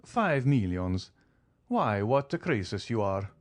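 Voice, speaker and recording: A man's voice: lowish voice, sing-song, slow. A man voicing a suave, wealthy count who speaks in a polished, teasing, formal way. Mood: amused, flattering. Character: suave wealthy male count, coolly ironic.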